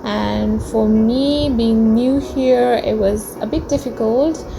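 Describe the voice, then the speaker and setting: A woman's voice: fairly high pitch, takes it slowly, creaky, noisy background. A young woman, English not her first language, telling a personal story to camera in a chatty way with many ums and uhs, in a small room. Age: young adult. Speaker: vlogger.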